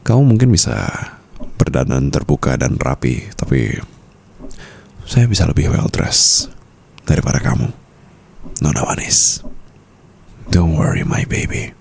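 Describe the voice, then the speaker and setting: A man's voice: rumbling low, big pitch swings, measured pace, creaky, long pauses, darkish tone, slight background noise, heavily acted. A man playing a suave, protective mafia boss boyfriend in an intimate, teasing ASMR roleplay. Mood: tender, reassuring.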